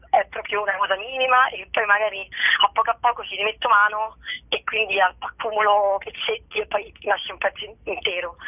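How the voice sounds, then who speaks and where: A woman's voice: mid-range voice, no room sound. Hesitant woman on a tinny phone line. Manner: hesitant, meandering, thinking aloud. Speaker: actress and stand-up performer being interviewed. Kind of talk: casual interview answers. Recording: dry, tinny phone-like narrow band.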